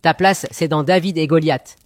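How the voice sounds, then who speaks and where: A man's voice: a little high, fairly even intonation, normal speed, close to an everyday voice. A man playing a cheeky, cunning young boy hero, self-assured and wheedling, in a children's cartoon fairy tale.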